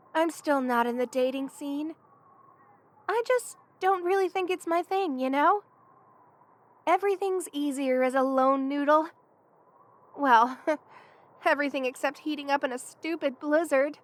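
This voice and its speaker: A woman's voice: high-pitched, a little slow, long pauses. A woman voicing a playful, affectionate young lamia best friend in a casual, teasing ASMR roleplay. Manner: casual, teasing, conversational with soft chuckles. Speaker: voice actor in audio roleplay. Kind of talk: ASMR roleplay, one-sided friendly chat.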